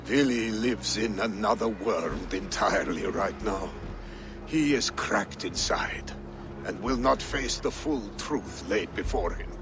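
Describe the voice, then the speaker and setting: An actor playing an earnest, careworn Viking counsellor in a video game drama, sober and admonishing, with a gravelly voice. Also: male voice, fairly low pitch, slow, background noise. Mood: sombre.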